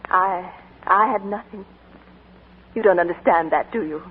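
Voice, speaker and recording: A woman's voice: fairly low pitch, a little slow, breathy edge, narrow, tinny audio. An actress playing a young woman whose sweetheart has come home from war, in emotional 1940s radio acting on an old broadcast recording. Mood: grieving. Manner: emotional, breathless and pleading. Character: young woman waiting for her soldier sweetheart, heartbroken.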